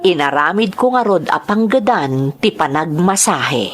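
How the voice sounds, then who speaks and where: Lady doing first person drama narration as a hurt daughter, measured, confessional, bit low. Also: lively intonation. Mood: sorrowful, reflective. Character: wronged daughter sorrowfully telling her own life story.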